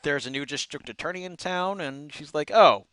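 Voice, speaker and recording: A man co-hosting a casual chat, recapping episodes in an animated storytelling way. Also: on the high side, moderate pace, smooth, dry recording. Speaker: co-host reviewing cartoon episodes.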